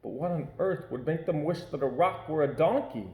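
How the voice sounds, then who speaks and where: A man reads a children's picture book aloud at home, shaping each line expressively. His voice is mellow and dark-toned, and the room adds a noticeable echo. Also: on the high side, moderate pace. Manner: expressive, varied reading. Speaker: storyteller. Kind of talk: children's storybook read-aloud.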